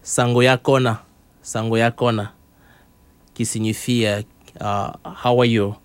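Man, priest being interviewed, hesitant, lots of fillers, laughs a lot, mid pitch, dry close sound. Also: level tone, unhurried, smooth, no echo. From Congo. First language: Tetela. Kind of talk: interview answers.

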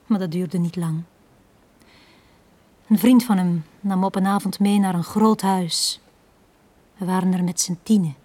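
A Flemish actress playing a lonely, downtrodden young bar girl in a radio drama, speaking in a hushed, halting, confiding way. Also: on the low side, fairly even intonation. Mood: wistful, hurt. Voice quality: breathy, hushed.

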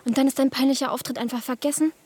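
A hot-tempered young woman in a radio play. Her voice sits high and her delivery is blunt and colloquial, with plenty of scorn and sarcasm. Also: steady tone, racing, darkish tone, breathy edge. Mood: mocking, scornful. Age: young adult. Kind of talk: radio drama dialogue.

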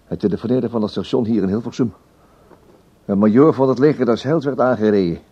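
A man's voice: mid-range voice, fairly quick, mellow, breathy edge, dull audio, natural voice. An actor playing a flustered, officious newsroom chief who fusses and repeats his words in a radio drama. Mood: puzzled. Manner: hesitant, fussy, repeats words.

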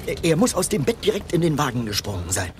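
An actor playing an anxious accomplice keeping watch in a radio crime comedy. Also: male voice, a little high, sing-song, quick pace, over loud music. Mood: nervous. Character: anxious accomplice keeping lookout during a heist. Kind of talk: 1970s German radio crime comedy acting. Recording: noisy old radio drama tape.

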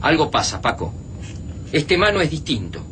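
An actor playing an analytical, wary but decisive resistance fighter in a radio drama, with an Argentine Rioplatense accent. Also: male voice, fairly high pitch, sing-song, moderate pace, a bit bright. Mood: urgent, persuasive. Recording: clearly noisy, sound-effect ambience.

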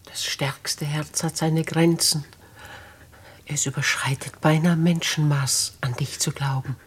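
Husky aristocratic old lady, stately, grave, resolute, vintage German radio drama. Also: sits low, fairly even intonation, heavily acted. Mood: hushed, moved. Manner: grave, measured, stately delivery. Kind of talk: 1950s German radio play acting. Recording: vintage 1950s radio play recording.

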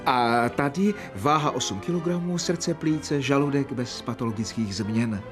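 A man's voice: medium pitch, music in the background, lightly acted. A man acting in a radio play as an earnest, deferential medical assistant who speaks precisely and meticulously. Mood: meticulous, measured. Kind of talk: radio drama acting, diary narration.

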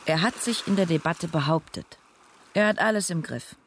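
A woman's voice: on the low side, moderate pace, a little hiss or hum, lightly acted. An actress playing an impressionable, wistful woman speaking her thoughts in a literary radio drama. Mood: admiring.

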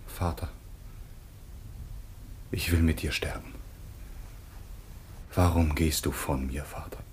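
An actor playing a devoted, grief-stricken son, declaiming with passion in a solemn classical radio play. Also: male voice, extremely low pitch, fairly even intonation, slow pace, a little hiss or hum. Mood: fearful, devoted. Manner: impassioned, declamatory lament.

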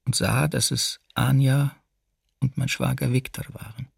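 An actor playing a former camp prisoner, recounting the ordeal in a restrained, deliberate way for a documentary radio drama. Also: male voice, mid-range voice, steady tone, vocal fry, husky, no echo, clean studio sound, exaggerated voice. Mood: restrained, pained. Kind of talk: documentary radio drama, first-person testimony.

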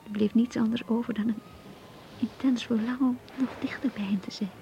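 An actress playing a shy, anxious young woman in love, reading her diary in a radio drama in an intimate, hesitant, breathy voice. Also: on the high side, fairly even intonation, a little fast, dark tone, muffled recording, slight background noise, lightly acted. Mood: tender, yearning. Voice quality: husky, breathy, half-whispered.

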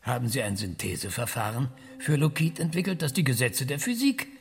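A man's voice: mid-range voice, lively intonation, a little fast, slightly rough, dry recording. An actor in a radio drama playing an ironic, cranky male scientist, hesitant and musing with dry sarcasm. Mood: mocking, challenging. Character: cranky, ironic maverick male scientist, passionate about research.

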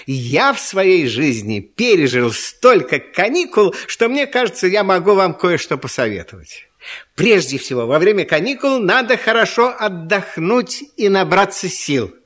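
High-voiced, avuncular older adviser. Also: male voice, sing-song, measured pace, fairly bright tone, full character voice. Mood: benevolent advising. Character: kindly, experienced older mentor advising children. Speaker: performer in a children's comedy radio show. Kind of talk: scripted children's radio comedy.

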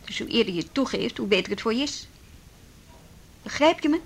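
A woman acting a brisk, persistent policewoman in a radio drama, firm and probing as she questions people. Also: fairly high pitch, expressive, creaky. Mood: pressing, insistent. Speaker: police officer.

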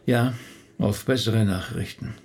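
An actor playing a thoughtful, courteous middle-aged rabbi in a radio play, with a gravelly, husky voice and a hesitant way of talking. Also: male voice, lowish voice, measured pace, over loud music. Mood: polite, subdued. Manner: reflective, hesitant, polite.

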